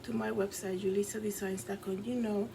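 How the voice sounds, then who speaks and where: A woman with a Dominican accent talks straight to her camera in a casual vlog, rambling earnestly and padding her sentences with fillers. Her pitch sits in an ordinary middle range. A clear hiss of background noise runs under her voice in her apartment. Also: level tone, brisk. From Dominican Republic. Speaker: vlogger and fashion designer. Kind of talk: casual vlog, recounting a dream.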